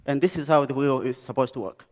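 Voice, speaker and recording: A young man from Malawi with a Malawian accent, giving a conference talk about his design work, pausing often with "um" and "uh". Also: mid-range voice, heavy background noise. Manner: halting, many "um" and "uh" pauses and restarts. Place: lecture stage.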